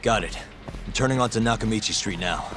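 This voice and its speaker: An actor playing a calm, decisive male private detective in a video game cutscene, speaking in a terse, matter-of-fact way. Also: on the low side, quick pace, close to an everyday voice. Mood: focused. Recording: loud music behind the voice.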